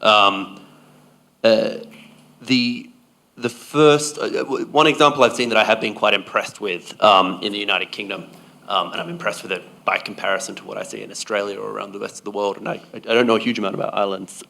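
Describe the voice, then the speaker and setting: A man's voice: lowish voice, steady tone, a little fast, creaky, pushed and bright. A man speaking on a conference panel, answering at length in a discursive, hedging way.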